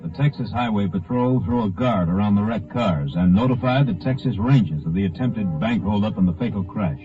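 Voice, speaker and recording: A man narrating a scripted 1950s radio drama in a measured, factual case-file manner. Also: on the low side, lively intonation. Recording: muffled 1950 radio recording. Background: music.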